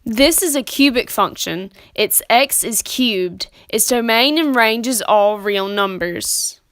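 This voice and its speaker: A young woman narrates a scripted lesson in a fairly high voice. Her explaining is plain and methodical, and the sound is dry and close. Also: lively intonation, slow pace, a bit bright, no room sound. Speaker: student presenter.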